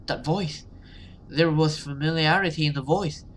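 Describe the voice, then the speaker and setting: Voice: medium pitch, moderate pace, a little hiss or hum. A gaming video host who reads a horror story dramatically and adds casual commentary.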